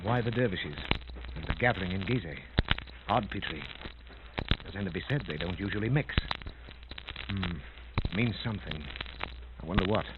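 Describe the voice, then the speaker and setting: A man's voice: low-pitched, lively intonation, normal speed, pauses often. An actor playing a commanding, determined male investigator with clipped, authoritative delivery in a 1930s radio drama. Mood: firm, purposeful. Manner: clipped, authoritative, giving orders. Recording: noisy, clicking, tinny 1930s radio recording.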